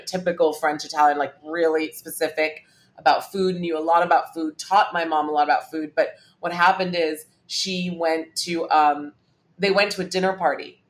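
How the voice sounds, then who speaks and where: A woman in her forties from Hong Kong, telling family stories as a podcast guest and often restarting her phrases. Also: fairly low pitch. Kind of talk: casual interview chat.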